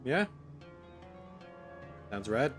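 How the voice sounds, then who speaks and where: A man's voice: mid-range voice, sing-song, drawn-out words, over music. A man doing casual, jokey let's play commentary as a gaming streamer, with a laid-back manner.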